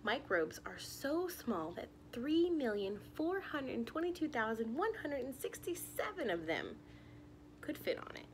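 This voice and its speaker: High, lively young woman reading to children. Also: measured pace, slight background noise, rough recording. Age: young adult. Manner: expressive, addressing small children with questions. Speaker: teacher reading a picture book aloud. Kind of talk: children's story read-aloud. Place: living room at home.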